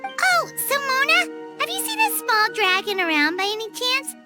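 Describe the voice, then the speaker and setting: A very high, squeaky, childlike voice for a perky little floating fairy in a video game. The intonation bounces wildly and chirps along chattily. Music plays clearly behind it. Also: female voice, sing-song, normal speed. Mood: curious. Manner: perky, chirpy, refers to self in third person. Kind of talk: video game cutscene acting.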